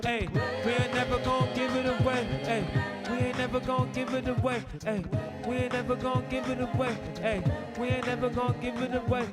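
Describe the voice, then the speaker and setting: Man rapping high and loud over music. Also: little pitch movement, brisk. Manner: loud, rhythmic, hyped-up freestyle rap. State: hoarse. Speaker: vocal workshop instructor. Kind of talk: freestyle rapping with an ensemble. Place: music school workshop room. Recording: music playing clearly behind.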